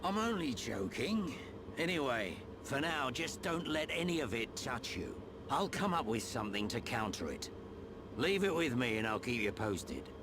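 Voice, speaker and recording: Man playing a gruff, cocky British veteran, animated. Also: mid-range voice, normal speed, background noise, close to an everyday voice. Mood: wry, businesslike. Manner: blunt, slangy, wry. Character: gruff, cocky, roguish older male veteran soldier. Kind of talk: video game cutscene acting. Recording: loud orchestral game music behind.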